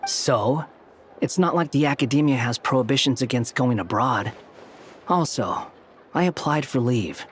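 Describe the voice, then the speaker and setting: A man's voice: medium pitch, expressive, measured pace, slight background noise, lightly acted. A man playing an aloof, curt young scholar with a dry, dismissive manner in a video game. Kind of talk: scripted video game dialogue.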